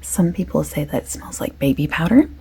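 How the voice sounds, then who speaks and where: A woman doing a soft-spoken ASMR shop assistant roleplay, often slipping into a breathy whisper as she explains things in chatty, unhurried detail. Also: sits low, animated. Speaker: ASMR creator playing a perfume shop assistant. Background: light clicking and tapping of items.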